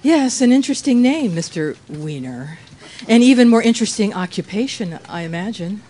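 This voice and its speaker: A woman's voice: mid-range voice, animated, normal speed, dark tone, lightly acted. A woman playing a sultry, composed widow in her thirties, a coy femme fatale in a radio play. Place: live performance on a cruise ship.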